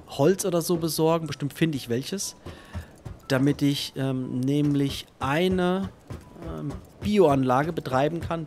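A man's voice: on the high side, a little mellow, a little hiss or hum. A man giving casual let's play commentary, thinking aloud and chatting to himself and his viewers.

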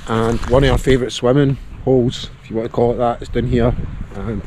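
Outdoor camera audio from a Scottish man in his thirties, cheerful and chatty with easy laughs. He talks to a handheld camera at arm's length in an open glen, and clear background noise runs under his voice. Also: mid-range voice, fairly even intonation, a little fast, darkish tone. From Scotland. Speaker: vlogger. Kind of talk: casual vlog narration. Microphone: action camera at arm's length.

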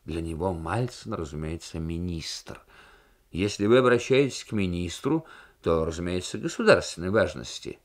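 A man's voice: deep voice, expressive, moderate pace. A man plays a German telephone attendant in a radio story. He is stiff and officious, speaking with pedantic, haughty formality.

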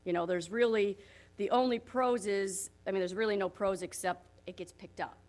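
Brief: woman, property manager, loud plainspoken voice, walks a council through figures on a room mic. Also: medium pitch, fairly even intonation, moderate pace. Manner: plainspoken, talks through her figures with frequent "so what I did". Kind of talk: presentation at a town work session. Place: council chambers. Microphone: room microphone.

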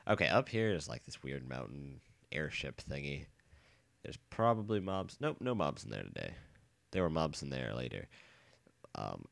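A young man with a bad cold and a nasal, stuffy voice, chatting casually and rambling excitedly while playing a game. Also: a bass voice, gravelly, no echo. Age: young adult. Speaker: let's play gamer. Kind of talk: casual gameplay commentary. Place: dorm room.

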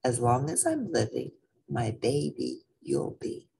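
A woman's voice: rumbling low, wildly swooping pitch, slow, gravelly, dark tone, slight background noise, voice pushed down, full character voice. A woman voicing a tender, devoted mother in a storybook, giving a repeated lullaby to her son.

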